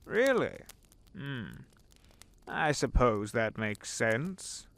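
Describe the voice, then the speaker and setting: Male actor as urbane old devil, theatrical and sly, unhurried, swooping intonation, audio drama, noisy recording. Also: medium pitch, big pitch swings, a bit bright, full character voice. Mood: probing, polite. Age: older. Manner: theatrical, wordy, courteous, savoring phrases.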